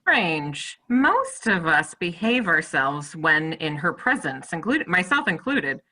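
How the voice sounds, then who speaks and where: A woman's voice: sits low, very animated, slow pace, sharp tone, full character voice. A woman playing a loyal, upright medieval French soldier in a play reading, with emphatic, archaic formal diction. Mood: solemn agreement. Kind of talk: stage play table read.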